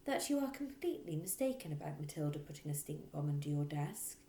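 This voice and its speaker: A woman voicing a gentle, nervous but determined teacher in a storybook, polite and pleading. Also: sits low, sing-song, a little fast, a little hiss or hum. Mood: earnest protest. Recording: roomy echo.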